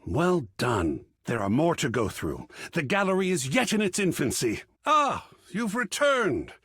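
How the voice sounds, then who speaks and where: A man's voice: a little high, wildly swooping pitch, measured pace, fairly bright tone, slightly rough, no echo, clean studio sound, full character voice. An actor voicing an effusive, grandiloquent art connoisseur in a video game, savoring his words with dramatic flair. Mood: eager, gracious. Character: effusive, theatrical art connoisseur, a living piece of artwork. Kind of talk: theatrical game character dialogue.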